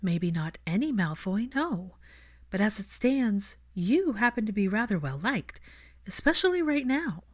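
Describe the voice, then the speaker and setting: A woman's voice: mid-range voice, sing-song, measured pace, pitched above the performer's own voice. A woman voicing a determined, caring heroine in an audiobook, earnest and insistent as she persuades. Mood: firm, encouraging.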